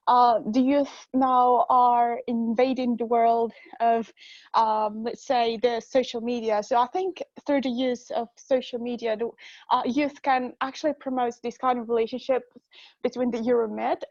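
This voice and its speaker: A woman's voice: on the high side, a little mellow, no echo, muffled recording. A woman from Morocco answering a question at an online forum, hesitant with frequent fillers. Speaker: participant. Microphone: computer mic on video call.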